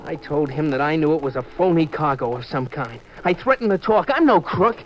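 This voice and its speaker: Actor playing high-voiced, jumpy young radio sailor. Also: male voice, lively intonation, a little fast, natural voice. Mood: uneasy, secretive. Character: desperate, jumpy nineteen-year-old male sailor. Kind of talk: 1950s radio drama acting. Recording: muffled old radio recording, faint music.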